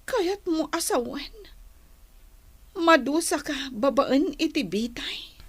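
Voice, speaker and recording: A troubled young daughter in a radio drama. Her voice sits high, and she speaks earnestly, with reproach. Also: lively intonation, slow. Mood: reproachful. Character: troubled young woman, disillusioned former girlfriend and dutiful daughter. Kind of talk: Ilocano radio drama acting.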